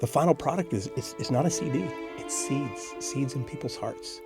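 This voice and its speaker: Very animated man over music. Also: medium pitch, moderate pace, gravelly. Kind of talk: promotional interview talk about a drama.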